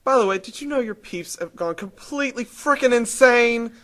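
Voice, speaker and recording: A woman's voice: on the high side, lively intonation, normal speed, natural voice. A woman playing a brash, sarcastic, foul-mouthed young hero in a video game cutscene. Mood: incredulous. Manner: sarcastic, irreverent.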